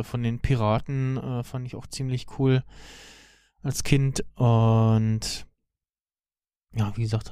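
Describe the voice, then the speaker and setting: A man co-hosting a podcast, chatting casually and haltingly as he searches for words. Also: mid-range voice, warm tone, clean tone, dry recording, clean studio sound. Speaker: podcast co-host. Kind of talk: casual chat about cartoons.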